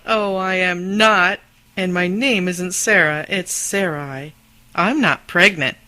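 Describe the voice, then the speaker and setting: A woman acting a warm, teasing, supportive wife in an amateur radio drama, chatty and affectionate. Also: lowish voice, slow pace, no echo.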